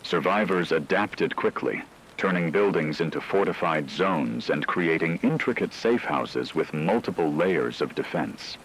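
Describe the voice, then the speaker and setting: A man reading scripted, documentary-style dramatic narration in a measured, solemn way. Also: very deep voice, expressive, fairly bright tone, slight background noise, rough recording.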